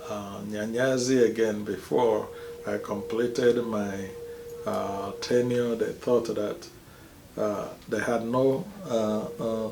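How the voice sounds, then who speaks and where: A man's voice: on the low side, gravelly. Nigerian accent, man, priest, hesitant with fillers, roomy echo, faint background hum. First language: Igbo. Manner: slow and hesitant, many pauses and fillers. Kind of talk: interview, telling his life story.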